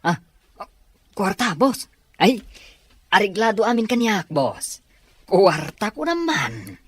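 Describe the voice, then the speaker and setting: A woman's voice: medium pitch, very animated, takes it slowly, husky, dry recording, exaggerated voice. A woman playing an eager, money-minded underling in an Ilocano audio drama, with a Filipino accent. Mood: eager, curious.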